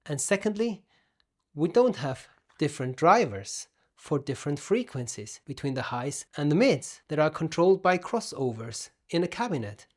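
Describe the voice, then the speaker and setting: A man giving an explanatory product review as an audio gear reviewer, phrasing things deliberately and emphatically with pauses. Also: medium pitch, a little mellow. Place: room at home.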